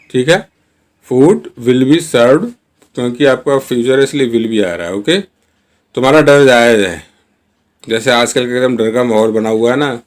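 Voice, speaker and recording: A man teaching spoken English in a chatty, casual way, reading each example sentence very slowly and word by word. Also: mid-range voice. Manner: chatty, addresses viewers as guys. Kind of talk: Hindi-medium spoken English lesson.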